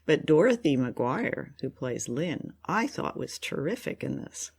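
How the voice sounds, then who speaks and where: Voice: medium pitch, sing-song, a little slow, vocal fry, slightly rough. A co-host of a radio drama review show, chatting casually in an appreciative, effusive way.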